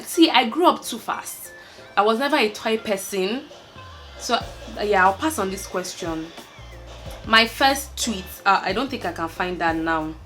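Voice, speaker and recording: A woman's voice: mid-range voice, a bit bright. A young woman with a Nigerian accent, chatting candidly to camera, laughing and trailing off with fillers. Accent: Nigerian, Lagos. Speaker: vlogger. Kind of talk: casual chat to camera, answering a tag list.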